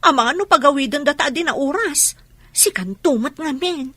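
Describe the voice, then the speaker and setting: Bright, high-pitched scolding friend. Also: female voice, animated, moderate pace, no room sound. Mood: warning. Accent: Ilocano. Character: questioning young female friend, worried and scolding. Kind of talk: Ilocano radio drama acting.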